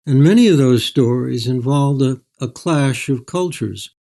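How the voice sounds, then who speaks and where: An older man telling stories as an author in an interview, in a measured, anecdotal way. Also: medium pitch, gravelly, mellow. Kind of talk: storytelling in an interview.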